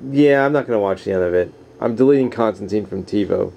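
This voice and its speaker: A man's voice: lowish voice, fast, gravelly, warm tone. A man reading a nonfiction book aloud in an informal, easygoing way, dropping in casual asides. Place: at home, household around. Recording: noisy home camera recording.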